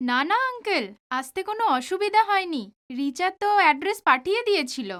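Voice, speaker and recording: A woman plays a sweet, determined young student in an audio drama. Her voice is extremely high, with lively intonation. She is polite and earnest. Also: fairly quick, heavily acted. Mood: sweet, shy.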